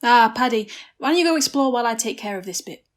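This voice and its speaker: A woman with an English accent playing a brisk, casual female private detective in a student radio play. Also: fairly high pitch, animated, close to an everyday voice. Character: breezy female private detective, the boy's aunt.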